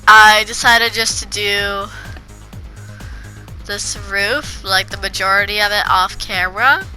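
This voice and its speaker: A woman with a cold gives loose, rambling commentary over her building game. Her voice is very bright and a little congested. Faint music plays softly underneath. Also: mid-range voice, fairly even intonation, slow. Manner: rambling, with frequent restarts and filler. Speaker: gaming video creator. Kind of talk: casual voice-over commentary on gameplay.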